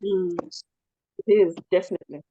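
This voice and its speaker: A talkative woman with a low, commanding voice, leading a casual group discussion and speaking reflectively. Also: fairly even intonation, normal speed, husky, narrow, tinny audio. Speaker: group facilitator.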